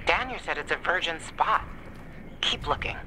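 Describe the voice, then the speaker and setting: A woman's voice: sits low, expressive, normal speed, noisy background. An actress playing a streetwise, teasing woman ally in a video game, with a dry manner.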